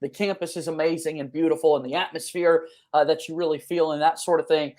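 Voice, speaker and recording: A man's voice: a little high, steady tone, fast, a little mellow. Enthusiastic male sports podcast host.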